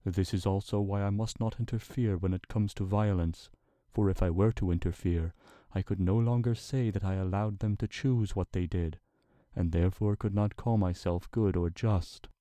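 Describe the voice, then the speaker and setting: Casting: male reader as ancient divine stallion king for story drama; low, measured, formal, patient and reassuring. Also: warm tone, no room sound, lightly acted. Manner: slow, deliberate, formal, reassuring. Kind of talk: dramatic fan fiction reading.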